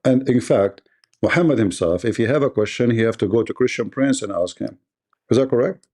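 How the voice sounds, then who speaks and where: Guy hosting a debate stream, pushy and repetitive, darkish mellow voice. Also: mid-range voice, brisk. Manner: combative, repetitive and quizzing. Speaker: host.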